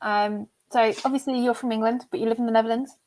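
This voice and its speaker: A woman's voice: fairly high pitch, steady tone, fast, a little mellow. A woman co-hosting a casual stream chat, upbeat as she leads the segment and asks questions. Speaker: co-host.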